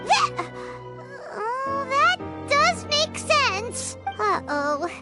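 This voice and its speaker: Childlike game fairy, extremely high-pitched, very animated, chirpy, eager, drawn-out delivery. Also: female voice, over music, exaggerated voice. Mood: perky, curious. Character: small, high-voiced childlike fairy companion, eager and reassuring. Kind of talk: video game voice acting.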